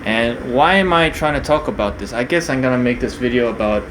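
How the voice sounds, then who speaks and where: A man's voice: mid-range voice, animated, a little fast. Hesitant man with a background hum. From Korea, raised partly in New Zealand. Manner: hesitant, many fillers and restarts. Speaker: video creator. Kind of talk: casual unscripted monologue.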